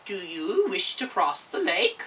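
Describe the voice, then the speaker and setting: Storybook role: a three-inch magical ferryman, cocky and expressive, performed by a woman. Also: on the low side, unhurried, far from the mic, full character voice, poor audio. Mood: sly. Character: tiny cocky magical ferryman, a little man.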